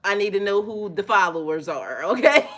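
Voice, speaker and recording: A woman's voice: medium pitch, normal speed. A woman hosting a spiritual reading vlog, talking straight to her viewers in a blunt, profane, chatty way and laughing often. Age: adult with children. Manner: loud, animated delivery. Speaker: spiritual reader and channel host.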